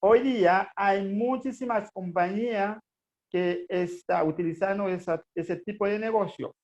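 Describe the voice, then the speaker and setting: Haitian man, gravelly voice, pitched high. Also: a little slow, a little mellow, highs cut off. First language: Haitian Creole. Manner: earnest, hesitant with frequent fillers. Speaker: network marketing presenter. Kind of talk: motivational business presentation.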